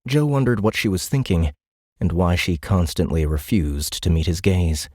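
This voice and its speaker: A man's voice: deep voice, moderate pace, darkish tone, dry recording, clean studio sound. A man reading scripted fiction as an audiobook narrator in a dramatic storytelling style. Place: dry recording studio.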